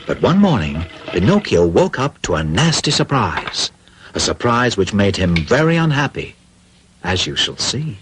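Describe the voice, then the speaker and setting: A man narrating a classic dubbed cartoon like a storybook, measured and theatrical. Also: lowish voice, sing-song, background noise. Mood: measured, foreboding.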